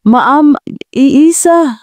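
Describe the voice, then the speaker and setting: A woman voicing a polite young man who pleads softly and respectfully. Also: sits high, drawn-out words, dry recording, pitched above the performer's own voice. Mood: pleading. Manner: pleading, respectful, soft-spoken.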